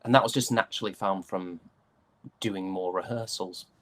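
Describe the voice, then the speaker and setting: A man's voice: low voice, steady tone, normal speed, fairly bright tone. A British man talking casually as an interview guest, thoughtful and enthusiastic about his craft. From United Kingdom. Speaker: interview guest, stage and voice actor.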